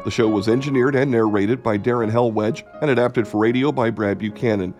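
A man's voice: medium pitch, fairly even intonation, brisk. A man narrating a radio drama from a script. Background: music.

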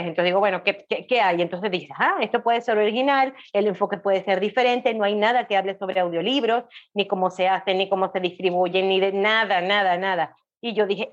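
A woman's voice: mid-range voice, a little fast, few pauses, dull audio. A woman guest chatting casually in an interview, telling her story expressively and repeating phrases. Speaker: podcast guest, audiobook creator.

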